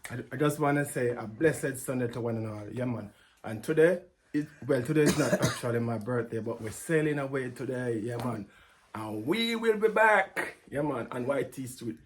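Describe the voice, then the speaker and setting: A man's voice: medium pitch, lively intonation, normal speed. A young man co-hosting a casual travel vlog, laid-back and repeating catchphrases. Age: 20s. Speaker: vlog co-host. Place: outside a train station. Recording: outdoor, wind noise on mic.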